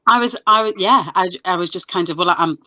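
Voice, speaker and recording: A woman's voice: fairly low pitch, a little fast, pushed and bright, no room sound. Chatty woman, dull lo-fi sound. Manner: chatty, stop-start storytelling with many restarts. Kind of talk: casual video chat.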